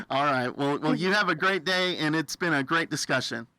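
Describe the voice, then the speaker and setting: A very bright American male voice, a little high and very dry. He hosts a friendly radio interview, upbeat and folksy, readily cheering on his guest. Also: moderate pace, no echo, poor audio. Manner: enthusiastic, affirming, folksy.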